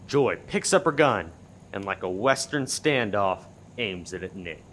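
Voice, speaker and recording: A man playing the narrator of a farcical radio play, reading scripted stage action in a deadpan way. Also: fairly low pitch, a little slow, a little hiss or hum. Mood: deadpan narrating.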